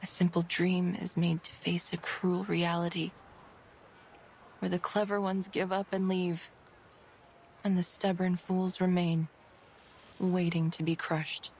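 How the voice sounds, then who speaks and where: A woman voicing an earnest, troubled researcher in a fantasy game, speaking in a measured, formal, solemn way. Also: fairly low pitch, level tone, darkish tone, slight background noise. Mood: bitter, resigned. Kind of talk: dramatic game dialogue. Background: game music.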